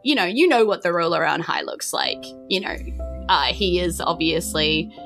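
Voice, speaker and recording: A woman's voice: on the low side, expressive, moderate pace, a bit bright, faint music behind. A woman improvising informal narration as a tabletop game master.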